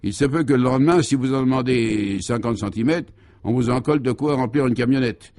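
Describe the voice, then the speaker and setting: A man's voice: medium pitch, a little fast, a little mellow, natural voice. A man acting out an assured, sententious driver in a comic radio serial, explaining absurdities matter-of-factly. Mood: patient, assured.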